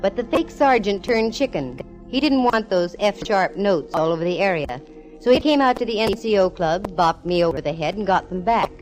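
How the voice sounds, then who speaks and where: A woman's voice: medium pitch, animated, moderate pace, darkish tone, natural voice, low-quality recording. An American actress playing a wry, wisecracking, self-assured female private eye, narrating in 1940s radio detective style. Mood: brisk, knowing. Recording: old radio recording, faint organ music.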